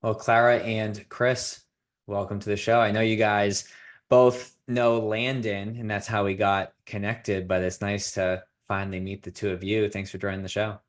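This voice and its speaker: Brief: man, low voice, casual podcast host who hesitates often and uses a lot of filler words. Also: flat delivery. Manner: halting, many filler words, laughs easily. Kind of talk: casual interview.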